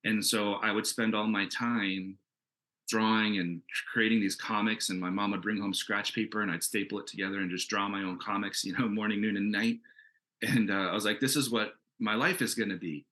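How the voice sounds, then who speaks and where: A man with a fairly low but very bright, forward voice speaks as a creator on a casual panel. He is enthusiastic and conversational, working out his thoughts aloud as he answers. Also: fairly even intonation, brisk. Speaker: comic book creator answering questions in a panel.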